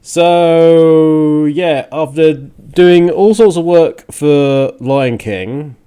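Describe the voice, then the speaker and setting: A man hosting a music radio show and podcast solo, talking casually in a halting, rambling, conversational way with a bit of a rant to it. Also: fairly high pitch, warm tone, clear, resonant tone. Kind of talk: casual solo podcast talk, partly ranting.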